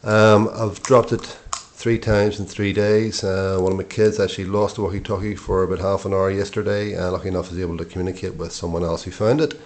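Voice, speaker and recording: A middle-aged man giving an informal product review in short, matter-of-fact phrases with hesitant pauses. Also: low-pitched, level tone, darkish tone, a little hiss or hum.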